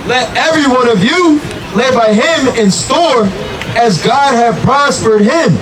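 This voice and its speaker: A man reading Bible verses aloud, slowly, for street preachers. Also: extremely high pitch, lively intonation. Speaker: scripture reader for the preachers. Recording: distant voice, loud crowd and music.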